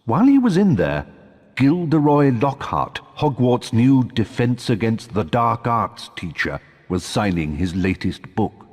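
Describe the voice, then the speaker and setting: A man's voice: mid-range voice, very animated, unhurried, a little mellow. A man reading scripted storybook narration in a recording with long reverb.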